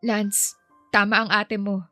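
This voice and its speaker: A woman's voice: mid-range voice, little pitch movement, slow, bright, forceful tone, dry recording, faint music behind. Woman acting a proud, earnest young nanny. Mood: bitter, resigned. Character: proud young housemaid nanny, polite, hurt but tender.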